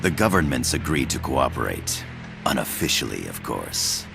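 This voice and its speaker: Game role: grave, courteous elderly professor, measured, bottomless creaky bass. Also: male voice, very animated, exaggerated voice. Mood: earnest, pleading. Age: older. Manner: formal, measured, earnest. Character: courteous, grave older male Costa Rican professor, pleading. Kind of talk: dramatic game cutscene acting. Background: loud dramatic music.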